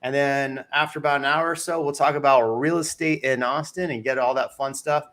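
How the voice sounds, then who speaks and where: A man hosts a relaxed real estate livestream from home over a computer mic. His voice sits at an ordinary pitch. He jokes and teases his co-hosts as he moves the talk along. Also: brisk. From Texas. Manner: joking, teasing. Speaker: real estate show host. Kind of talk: casual livestream chat. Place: home studio on a live stream.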